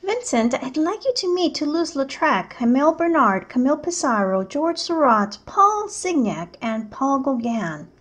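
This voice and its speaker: A woman voicing a supportive younger brother in a storybook cartoon, in a deliberate, matter-of-fact manner. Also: high voice, very animated, a bit bright. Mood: polite.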